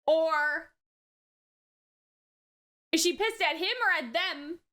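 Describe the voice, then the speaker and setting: A woman hosting a reaction video, chatting casually and excitably, often exclaiming and peppering her talk with filler words and check-in questions. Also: extremely high pitch, slow. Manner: frequent use of "like" and tag questions. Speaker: anime reaction video host.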